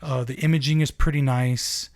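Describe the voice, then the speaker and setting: A man's voice: medium pitch, steady tone, normal speed, dry recording. An American man giving a casual, conversational product review as an enthusiastic shop owner.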